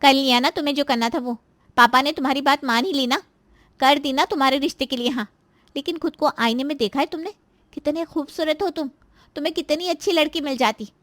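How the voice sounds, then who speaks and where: A scheming married daughter from a cartoon family drama, acted by a woman, pitched fairly high. She nags, complains and insinuates with open spite. The sound is close and dry, with no room around it. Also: brisk, a bit bright, no room sound. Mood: scornful, taunting. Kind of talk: Hindi moral-story cartoon voice acting.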